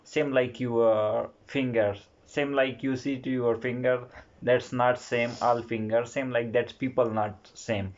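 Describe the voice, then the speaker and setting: Halting man in English. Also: medium pitch, fairly even intonation, highs cut off. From Pakistan. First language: Pashto. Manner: halting, repetitive non-native English with many fillers. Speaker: vlogger's husband. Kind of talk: casual couple Q&A chat.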